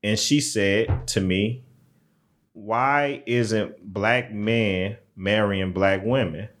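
A man co-hosting a podcast in casual chat, talking in a laid-back, drawn-out way. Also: on the low side, a bit bright. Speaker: podcast co-host.

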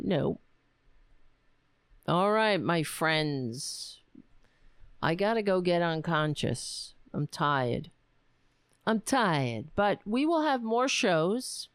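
A woman's voice: deep voice, smooth, no echo. A woman hosting her own podcast, tired and losing her voice, rambling casually through her sign-off. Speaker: podcast host. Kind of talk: casual solo sign-off chat.

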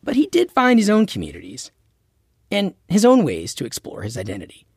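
A man's voice: high voice, wildly swooping pitch, brisk, slightly rough, dry recording, clean studio sound. A man hosting and narrating a documentary-style story in a conversational, personal scripted way. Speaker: host and narrator.

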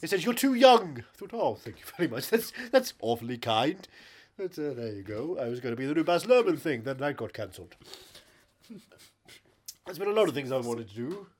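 A man's voice: medium pitch, sing-song, fairly quick, slight background noise, lightly acted. A man playing a pompous, rambling old British actor as a podcast host, boastful and mischievous in improvised comic banter.

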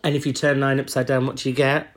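Hoarse man joking around. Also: medium pitch, level tone, fast talker, a little hiss or hum. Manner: crude, joking banter. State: hoarse, recovering lost voice. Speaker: podcast co-host. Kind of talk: casual comedic chat.

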